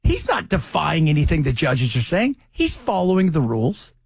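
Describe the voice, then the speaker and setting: Animated, high-pitched American male radio host. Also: moderate pace, vocal fry, breathy edge, no echo, studio quality. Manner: conversational, rhetorical questions, mocking asides. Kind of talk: opinionated political radio commentary.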